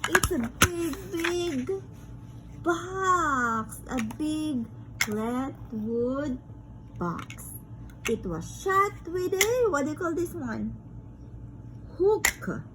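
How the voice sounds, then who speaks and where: A woman's voice: high-pitched, lively intonation, very slow, background noise. A woman reading a children's picture book aloud to her baby in an emphatic, child-directed way, often repeating words.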